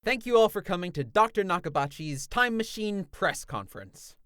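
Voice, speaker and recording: A woman's voice: mid-range voice, big pitch swings, moderate pace, fairly bright tone, no room sound, studio quality. A woman playing a polite, formal press conference announcer in an anime visual novel fan dub. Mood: polite, upbeat.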